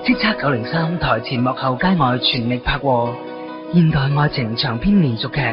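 A man's voice: medium pitch, normal speed. A man with a Hong Kong Cantonese accent announcing a radio drama in a dramatic style. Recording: muffled radio announcement over loud music.

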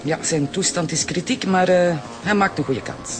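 Man acting a high-voiced, hesitant Flemish doctor. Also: over music, natural voice. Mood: measured, hesitant. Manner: halting, formal. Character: hesitant, protesting male hospital doctor. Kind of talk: radio drama acting.

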